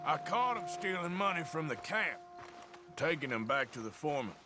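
Gruff American outlaw, animated pitch. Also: male voice, moderate pace, over music. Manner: gruff, laconic. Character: gruff, dry-witted Old West outlaw gunslinger. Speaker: video game protagonist. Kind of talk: game cutscene acting.

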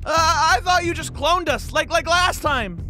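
A man's voice: very high voice, measured pace, pushed and bright, faint music behind, exaggerated voice. A man voicing an anxious, whiny teenage boy in a cartoon comedy, hesitant and stammering. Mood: worried. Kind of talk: animated comedy dialogue.

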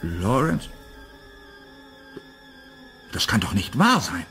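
Cynical hard-drinking scientist, very low voice, radio drama, sardonic, faint music behind. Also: male voice, sing-song, slow pace, fairly bright tone, exaggerated voice. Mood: troubled, shaken. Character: cynical hard-drinking male biologist, defiant but troubled. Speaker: actor in a radio play. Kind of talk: 1980s German radio play acting.

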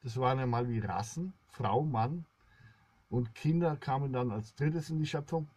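A middle-aged man rambling to his viewers in a solemn, halting monologue, recorded indoors at about arm's length. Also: mid-range voice, a little mellow, dry recording. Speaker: blogger, solo video host.

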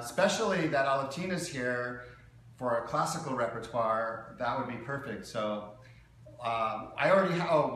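A man's voice: mid-range voice, a bit bright. A man in his forties, jovial and chuckling as he hosts a casual livestream chat. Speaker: host of a live piano request show. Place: living room beside a grand piano. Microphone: phone or camera a few feet away. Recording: very echoey room, faint background noise.

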